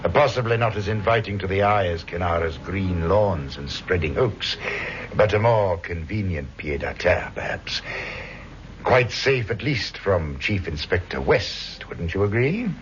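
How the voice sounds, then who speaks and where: An actor playing a suave, cruel criminal mastermind with silky, formal, mocking politeness, in 1960s radio drama style. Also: male voice, low voice, lively intonation, measured pace. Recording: muffled vintage radio recording, faint noise.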